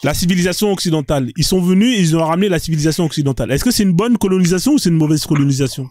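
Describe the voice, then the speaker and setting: A man's voice: on the high side, expressive, very fast, darkish tone, no room sound. A man hosting a live stream debate, pressing his caller with repeated questions and cutting in. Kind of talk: heated debate.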